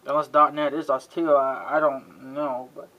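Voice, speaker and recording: A man's voice: medium pitch, little pitch movement, normal speed, highs cut off. A man hosting a casual, rambling hands-on demonstration, folksy and half muttering to himself. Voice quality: frequent throat clearing. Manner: folksy, muttering to himself, mild exasperated cussing. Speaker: video host showing an antenna assembly. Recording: clearly noisy homemade recording with clicks.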